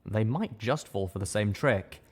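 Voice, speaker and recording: A man reading first-person fiction aloud with steady, dramatic storytelling. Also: lowish voice, a little slow, breathy edge. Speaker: audiobook reader.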